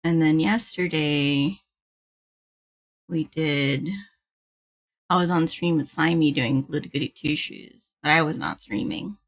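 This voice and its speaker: A woman livestreamer chatting casually with viewers in a bubbly, giggly manner, with a slightly husky voice. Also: low-pitched, a little slow, pauses often. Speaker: livestreamer hosting a gaming stream. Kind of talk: casual stream chat with viewers.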